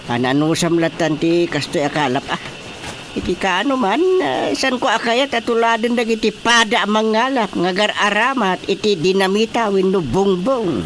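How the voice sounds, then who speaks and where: A man's voice: sits high, moderate pace, fairly bright tone, slight background noise. An actor playing a weary, principled older fisherman father in a radio drama, grumbling expressively. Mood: patient, firm.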